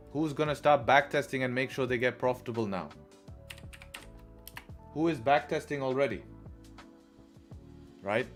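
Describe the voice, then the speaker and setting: A man from England hosting a live trading stream, chatting casually, repeating phrases and answering questions from chat. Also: medium pitch. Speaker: livestream trading host.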